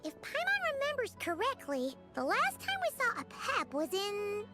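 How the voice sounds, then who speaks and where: Tiny perky fairy guide girl from a video game, super high squeaky voice, music behind. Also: wildly swooping pitch, normal speed, exaggerated voice. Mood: chirpy, proud. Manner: refers to self in third person, perky, boastful. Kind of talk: animated game voice acting.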